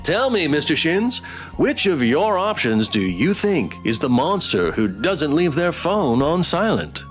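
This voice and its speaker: A man's voice: a little high, big pitch swings, unhurried, soft background music. A man voicing a showy, teasing host of a monster dating game show in a visual novel.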